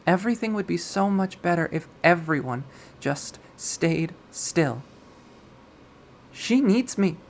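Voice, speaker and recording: A reader voicing an obsessive, possessive young woman who collects insects, narrating in a measured, wistful, coldly sadistic way for a visual novel. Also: mid-range voice, slight background noise, lightly acted. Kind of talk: dramatic visual novel readthrough.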